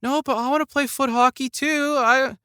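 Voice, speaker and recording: A man chats as a podcast guest in a bright, forward voice. He tells stories in an animated, conversational way, acting out remarks as he goes. The sound is close and dry. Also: extremely high pitch, moderate pace, clean tone, no room sound. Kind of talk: casual conversational storytelling.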